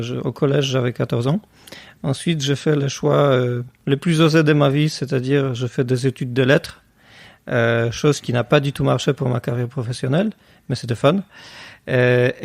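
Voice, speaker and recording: A man's voice: mid-range voice, gravelly, no room sound. A man, a podcast guest talking casually and hesitantly with many fillers. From Poland. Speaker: guest, tech company cofounder.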